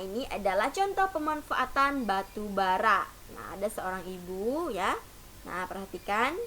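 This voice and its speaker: A woman's voice: on the high side, a bit bright, poor audio. A woman teacher giving a patient lesson to young children, often opening sentences with "nah". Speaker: primary school teacher. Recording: faint hiss.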